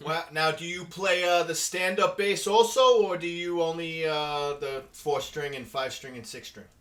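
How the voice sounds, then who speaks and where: New york guy hosting, slightly high voice, jokey and friendly. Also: moderate pace, fairly bright tone. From New York, USA. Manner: friendly, joking small talk. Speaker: interview host. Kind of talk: casual interview.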